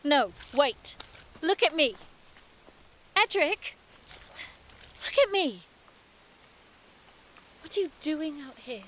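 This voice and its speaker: High-voiced, sharp-tongued noblewoman. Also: sing-song, slow, long pauses, full character voice. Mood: probing, cool. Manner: haughty, sardonic, theatrical. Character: sharp-tongued, defiant, sarcastic young noblewoman explorer. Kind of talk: audio drama acting.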